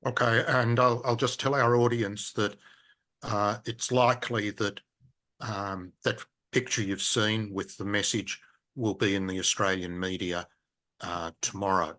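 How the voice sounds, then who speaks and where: A man hosting an interview, asking measured questions and checking points back with his guest. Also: fairly low pitch, fairly even intonation, pushed and bright. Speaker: radio host, interviewer.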